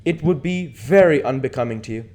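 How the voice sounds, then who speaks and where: A man voicing a languid, persuasive aristocratic gentleman from a novel, lecturing emphatically on how one should live. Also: mid-range voice, expressive, a little fast, close to an everyday voice. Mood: urbane, coaxing. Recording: noticeable background noise.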